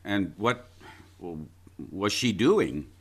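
A man's voice: on the low side, animated, slow pace, slightly rough, exaggerated voice. A man playing an officious county attorney in an audio drama, probing and cutting in as he questions a witness. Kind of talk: live audio stage drama.

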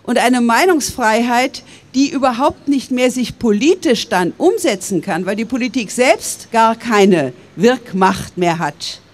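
An older woman from Berlin delivers a public speech outdoors into a stand microphone. Her voice sits a little high, and she builds her argument in a measured, earnest way. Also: lively intonation. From West Berlin, Germany. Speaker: public speaker. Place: outdoors by stone columns.

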